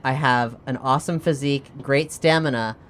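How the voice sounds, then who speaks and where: A tabletop role-player with a mid-pitched voice, talking informally and improvising as the game goes. The delivery is jokey and casual, sometimes slipping into in-character lines, over faint background noise. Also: normal speed, a bit bright.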